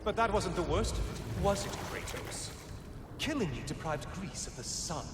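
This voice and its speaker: Highly animated, mocking sun god. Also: male voice, moderate pace, heavy background noise. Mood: accusing, taunting. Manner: sarcastic, taunting, theatrical. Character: mocking, bitter dead Greek sun god, severed head. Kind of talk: dramatic video game acting. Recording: echoey hall reverb, loud music behind.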